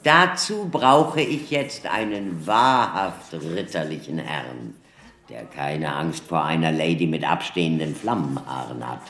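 A man's voice: extremely low pitch, lively intonation, measured pace, a bit bright, heavily acted. A man in a German radio drama playing a courteous, persuasive showman of science with a grandiloquent, theatrical manner. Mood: suave, persuasive. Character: showman doctor giving electricity demonstrations, courteous, theatrical, persuasive. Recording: echoey hall with audience murmur.

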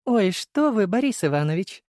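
A polite young shopgirl, as a man narrator puts her on, with a raised, swinging pitch. She speaks with genteel courtesy and a coquettish touch, in a close, dry audiobook sound. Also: very animated, moderate pace, a little mellow, dry recording. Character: polite, coquettish young woman shop clerk.

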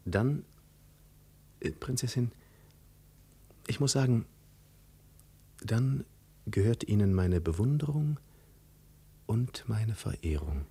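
An actor playing a cool, ironic adventurer posing as a prince, measured and sardonically polite, in 1950s radio drama style. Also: male voice, lowish voice, lively intonation, vocal fry, mellow, slightly rough, heavily acted. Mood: calculating.

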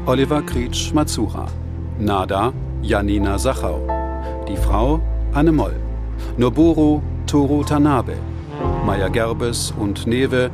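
A male announcer with a medium-pitched voice reads scripted radio drama credits. Music plays clearly behind him. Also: takes it slowly.

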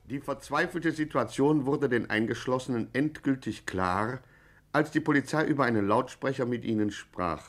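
An actor as a mock-serious documentary narrator in a vintage radio play, formal and measured. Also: male voice, mid-range voice, a bit bright, lightly acted. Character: solemn mock-documentary male narrator of gangster chronicle. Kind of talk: scripted 1950s radio narration.

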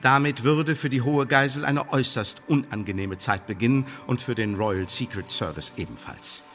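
A male actor playing an imperious secret service interrogator in a German radio play, pompous and sardonic, with a husky voice. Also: mid-range voice, moderate pace, a bit bright, faint music behind, lightly acted. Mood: coldly threatening. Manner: pompous officialese mixed with sardonic colloquial jabs. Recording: reverberant hall-like radio drama room.

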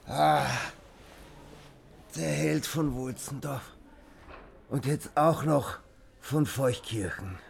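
An actor playing a wounded, sardonic man with an Austrian accent in a radio play, weak and halting. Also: medium pitch, animated, gravelly, a little hiss or hum, heavily acted. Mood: weary sarcasm.

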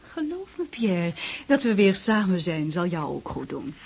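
A woman's voice: on the low side, big pitch swings, measured pace, vocal fry, darkish tone, breathy edge, heavily acted. A woman acting in a radio drama as a vain, flirtatious countess and society hostess, coquettish and sophisticated. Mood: coaxing, poised.

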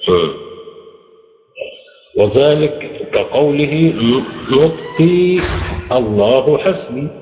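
A man's voice: fairly high pitch, lively intonation, extremely slow, a little hiss or hum. A man with an Egyptian accent teaching a grammar class, explaining step by step and quizzing his students with prompting questions. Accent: Egyptian Arabic. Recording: dull lo-fi recording, echoey hall.

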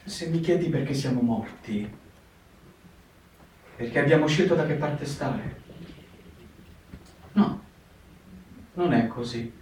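A man's voice: medium pitch, mellow, a little hiss or hum. An actor in a theatre reading on the radio, playing a dead young partisan who searches grimly for why he died, correcting himself as he goes. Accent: Italian. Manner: searching, self-correcting, grave. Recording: roomy echo, distant mic.

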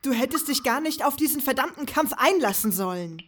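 A woman's voice: high voice, expressive, moderate pace, a little hiss or hum. A woman acting a bossy, impatient young female ally in a fan audio drama, with a brusque, scolding manner. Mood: exasperated.

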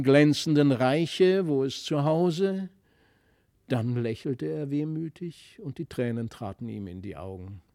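A male narrator reads literary fairy tales expressively. His voice is soft-edged and mellow at a middle pitch. He tells the story in a measured, dramatic way, recorded very dry and close. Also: no room sound. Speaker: narrator, audiobook reader. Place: dry studio.

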